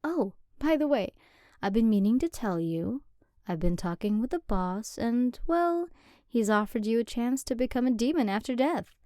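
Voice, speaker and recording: A woman's voice: on the high side, lively intonation, moderate pace, dark tone, dry recording, lightly acted. A young woman voicing an affectionate, chatty female demon girlfriend in an ASMR roleplay, rambling and sometimes dropping to a whisper, close to the mic. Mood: playful.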